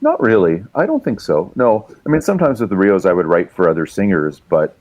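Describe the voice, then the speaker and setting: A middle-aged Canadian man, a musician and publisher, chatting in a relaxed way with plenty of fillers. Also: low voice, fairly quick, no room sound. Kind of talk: casual interview chat.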